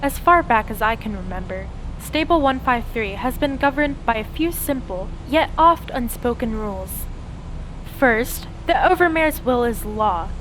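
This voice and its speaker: A cynical pony survivor, played by a woman, tells her story in a fairly high voice. The delivery is measured and dramatic, scripted like a radio play and given to rhetorical questions. Clear background noise sits behind the narration. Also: expressive, fairly bright tone, rough recording. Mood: wry, matter-of-fact. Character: cynical female pony survivor raised in an underground bunker. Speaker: narrator and main character.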